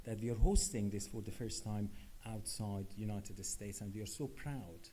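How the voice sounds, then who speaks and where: A man who is not from Scotland, a professor welcoming attendees and introducing the next speaker in earnest, slightly halting English. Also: lowish voice, warm tone, a little hiss or hum. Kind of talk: conference welcome speech. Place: conference hall.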